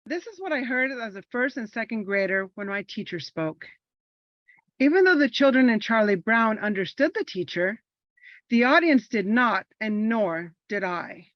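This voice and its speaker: A woman's voice: on the high side, lively intonation, normal speed, no room sound, lo-fi sound. A woman from Los Angeles whose first language is Spanish, an army veteran performing a personal monologue in an earnest, reflective storytelling manner. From Los Angeles, California.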